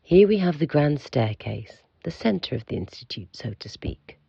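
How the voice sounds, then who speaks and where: A man acts out an aloof keeper of a house of monsters for an audio drama. The voice is heavily creaky and very husky, formal and curt. It sits in a slightly dull, roomy recording. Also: a little high, big pitch swings, moderate pace, dark tone, exaggerated voice. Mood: formal, guiding. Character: aloof, pompous male keeper of an institute for monsters.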